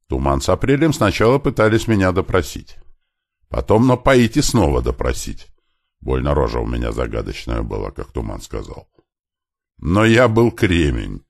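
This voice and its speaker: Очень низкий мужской голос рассказчика. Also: male voice, a bass voice. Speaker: audiobook narrator. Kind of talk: scripted first-person fiction narration with lightly voiced dialogue.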